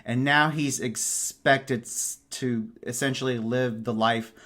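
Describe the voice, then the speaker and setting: A man in a casual book discussion, explaining his thoughts in a measured way as a podcast panelist. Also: mid-range voice, steady tone, a bit bright.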